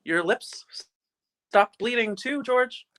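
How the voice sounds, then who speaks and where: A man's voice: extremely high pitch, slow, fairly bright tone, exaggerated voice. Radio play angel: male actor as ancient but guileless heavenly helper, earnest and quaint. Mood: delighted. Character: innocent, earnest 293-year-old second-class guardian angel. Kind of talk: old-time radio play live reading.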